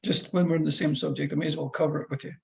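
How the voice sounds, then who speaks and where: Brief: Fife-accented driving instructor, middle-aged man, fairly high voice with heavy rasp, talky and meandering. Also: level tone, very fast, a little mellow. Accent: Scottish, Fife. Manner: chatty, rambling explanations with restarts. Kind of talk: one-to-one lesson over a call.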